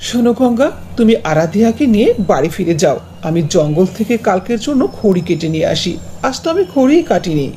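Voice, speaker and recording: A woman's voice: medium pitch, very animated, quick pace, warm tone, a little hiss or hum, pitched below the performer's own voice, natural voice. A woman voicing an earnest, exclamatory woodcutter father in a cartoon fairy tale. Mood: caring. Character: poor woodcutter father, devoted, easily bewildered.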